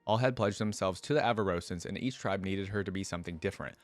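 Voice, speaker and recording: A man with a fairly low voice, recorded close and dry. He reads fantasy lore aloud as a reaction host and adds casual, conversational commentary of his own. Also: quick pace, no room sound, soft background music.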